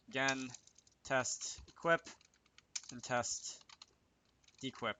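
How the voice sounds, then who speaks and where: Bright-voiced man thinking aloud while coding. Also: mid-range voice, slight background noise. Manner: muttering to self, self-correcting. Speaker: host of a game programming tutorial. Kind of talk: casual screen-recorded coding tutorial, thinking aloud.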